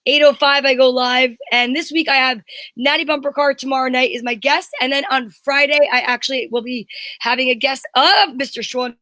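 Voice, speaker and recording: A woman's voice: sits high, steady tone, a little fast, pushed and bright, dry recording. A woman chatting casually and enthusiastically as co-host of a live online show.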